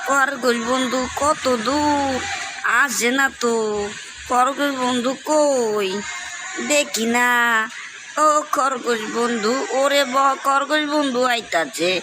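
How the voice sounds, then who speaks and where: A woman with a Bangladeshi accent voicing a small, plucky, teasing tortoise in a cartoon story, with drawn-out, repetitive phrasing. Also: high-pitched, over music, low-quality recording. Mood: triumphant teasing. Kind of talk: amateur cartoon story voice acting.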